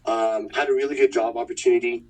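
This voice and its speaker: A man in his thirties from Manitoba, a fighter talking about his past in a relaxed, rambling way. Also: medium pitch, quick pace, distant mic, soft background music, poor audio. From Winnipeg, Manitoba, Canada. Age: 30s. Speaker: fighter, interview guest. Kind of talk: casual interview chat.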